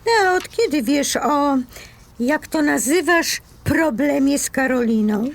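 A fed-up, suspicious woman in an audio drama. Her voice is very high and slightly creaky, and she takes her time over each cutting, sarcastic remark. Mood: bitterly sarcastic. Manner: sarcastic, cutting, drawn-out emphasis.

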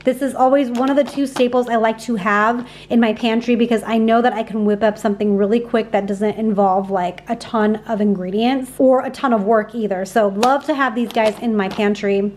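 A woman's voice: on the high side, steady tone, moderate pace, few pauses, a little mellow, slight background noise. A woman in her thirties chatting casually and upbeat in a voice-over about her groceries, with lots of fillers. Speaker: grocery haul vlogger.

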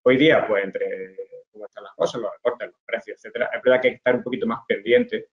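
A man's voice: mid-range voice, fast talker, dull audio. A middle-aged man from Spain giving an online talk to pharmacy students, explaining with many fillers and restarts. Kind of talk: informal online presentation over slides. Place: indoors at a desk. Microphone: webcam video call.

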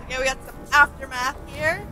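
A woman's voice: high-pitched, brisk, pushed and bright, soft background music. A woman in her twenties co-hosting a casual reaction chat in a small home room. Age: 20s. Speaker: reaction video co-host.

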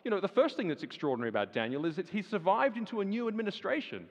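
Guy preaching in a big echoey hall, higher voice that jumps around a lot. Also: big pitch swings, racing. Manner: emphatic, rhetorical questions, swings from loud to hushed. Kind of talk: sermon. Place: large church hall. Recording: long reverb of a large hall.

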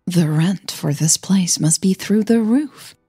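A woman's voice: lowish voice, slow, warm tone, husky, dry recording, clean studio sound, soft background music, full character voice. A woman voicing a nervous, shy young female singer with a hesitant manner in an audio drama. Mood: awed.

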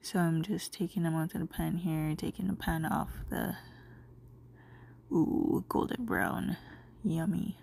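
A woman's voice: low voice, fairly even intonation, measured pace, gravelly, a little mellow, slight background noise. A young woman from Jamaica with a Jamaican accent, chatting casually as she cooks in her home kitchen, a bit stuffy from a cold, picked up by a camera mic across the room. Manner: chatty, rambling, talking while cooking. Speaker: cooking vlogger. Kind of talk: casual narration over cooking footage.

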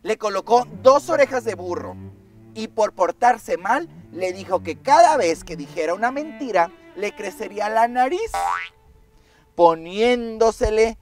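A woman with a Mexican accent telling a children's story in an expressive, playful, emphatic way. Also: mid-range voice, measured pace. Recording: music behind the voice.

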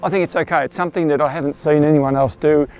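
A man's voice: fairly high pitch, fairly even intonation, fast talker, darkish tone. A man, chatting enthusiastically to camera outdoors and explaining his photography as he goes. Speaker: astrophotographer vlogger. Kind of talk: casual talk to camera. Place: outdoors at night in the bush, then a bush camp in the morning. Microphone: clip-on mic.

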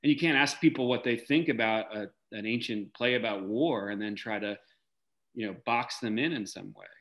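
A man in his forties with a slightly low voice, speaking as an interview guest over a video call. He is articulate and reflective, building long thoughts in a measured way and pausing to find the right word. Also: fairly bright tone. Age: 40s. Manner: measured, articulate, searches for words with frequent pauses. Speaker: interview guest, theater director. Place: indoor room, video call.

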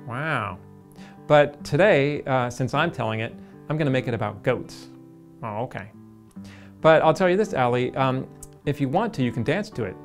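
A man's voice: medium pitch, animated, fairly quick. A middle-aged man chats as the host of a children's puppet show. He explains things casually into a headset microphone, with music around the show.

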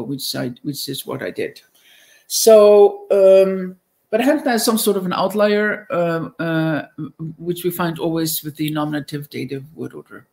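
A middle-aged woman with an Icelandic accent gives a conference lecture over slides on a video call, pausing often with fillers and restarts. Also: on the low side. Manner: hesitant, many fillers and restarts. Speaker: linguistics lecturer. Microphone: webcam on video call.

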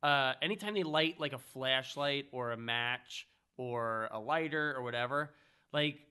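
Bright-voiced man critiquing a film. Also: medium pitch, measured pace, clear, resonant tone. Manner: opinionated, critiques film details. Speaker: podcast co-host. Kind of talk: casual film discussion.

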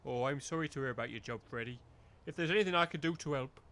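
A man's voice: medium pitch, quick pace, pushed and bright, no room sound. A man playing a weary, wary village working man who grumbles in rustic dialect, in a comedy audio drama. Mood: downcast.

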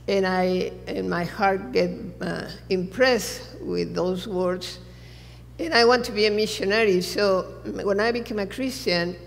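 Creaky-voiced woman in her sixties, echoing church. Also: medium pitch. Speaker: missionary guest. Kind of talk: personal testimony to a congregation. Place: large church sanctuary, seated on stage. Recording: reverberant church hall.

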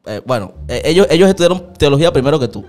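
A man's voice: on the high side, sing-song, rapid-fire, darkish tone, soft background music. A young man hosting a podcast from a small studio, teasing and hyping his guest in casual Spanish. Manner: playful, hypey, teasing. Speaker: podcast host. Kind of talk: casual interview. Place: small studio with purple lights. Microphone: studio mic on stand, headphones.

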